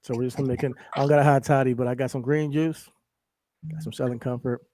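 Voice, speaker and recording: New man, middle pitch, raspy from a cold, laid-back podcast co-host, dry close sound. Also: fairly even intonation, fast talker, mellow, dry recording. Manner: laid-back, laughs often. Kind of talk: casual wrestling podcast chat.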